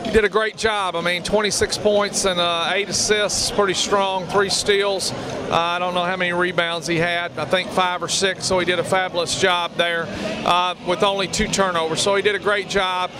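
A man's voice: high voice, little pitch movement, moderate pace, a crowd in the background. An older man, a basketball coach, answering questions in a postgame interview. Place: large indoor basketball arena. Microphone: handheld interview microphone. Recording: loud arena noise behind voice.

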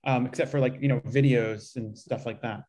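A man's voice: mid-range voice, steady tone, normal speed, a little mellow. A man explaining things casually in a rambling, unassuming way on a video call. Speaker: panelist, reader. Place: indoor room, video call.

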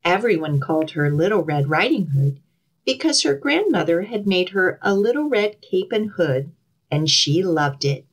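A middle-aged woman leading children's storytime, speaking expressively and deliberately in simple phrases for young children. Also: on the low side, very animated, slightly rough. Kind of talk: children's storytime reading and instruction.

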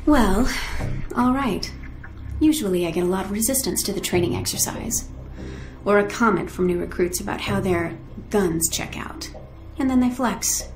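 A woman acts as a young female weapons trainer in a video game cutscene. She is dry and wry, with a gravelly edge to her voice. The sound is slightly roomy, with music underneath. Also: mid-range voice, normal speed, darkish tone, lightly acted. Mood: cool, businesslike. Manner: dry, unimpressed. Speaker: weapons instructor.

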